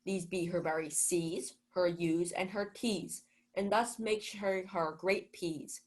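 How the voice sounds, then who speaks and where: Young woman doing a puffed-up gullible steward in a shakespeare radio play, lowish female voice, musing grandly to himself. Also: steady tone, slow pace. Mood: smug musing. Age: young adult. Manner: theatrical, self-important, musing aloud. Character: pompous, self-deluded male steward, gullible and lovestruck. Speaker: student actor. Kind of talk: Shakespeare comedy as 1940s-style radio play.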